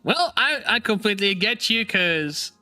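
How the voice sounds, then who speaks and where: A man hosting a casual chat stream, laughing often and talking in an animated, teasing way; English is not his first language. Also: sits high, a little slow, faint music behind. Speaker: streamer host.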